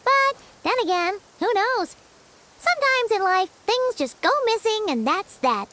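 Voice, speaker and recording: Tiny chirpy fairy companion, very high and bright, lively swings, game music behind. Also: female voice, measured pace, a little hiss or hum, full character voice. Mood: musing. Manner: chatty, refers to herself in third person. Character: small floating fairy companion, chirpy and blunt. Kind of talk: video game voice acting.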